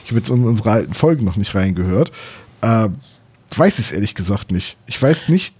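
A man's voice: fairly low pitch, animated, a little fast, a little mellow, breathy edge. A man chatting casually as a podcast co-host, with informal, jokey phrasing. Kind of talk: casual chat.

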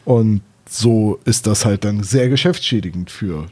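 A man's voice: fairly low pitch, measured pace, darkish tone. A man in his thirties chatting casually as a podcast co-host, explaining things in careful detail. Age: 30s. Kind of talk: casual discussion of audio dramas and books.

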